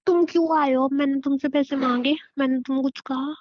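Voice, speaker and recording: A woman's voice: high-pitched, fairly even intonation, brisk, close to an everyday voice. A woman playing a resentful, wounded wife in an audio drama, reproachful and stubborn with a plaintive delivery. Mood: hurt, rejecting. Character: wounded, resentful young wife, defiantly rebuffing her husband. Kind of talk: Hindi audio drama dialogue, emotional acting. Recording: muffled phone-like sound with room echo.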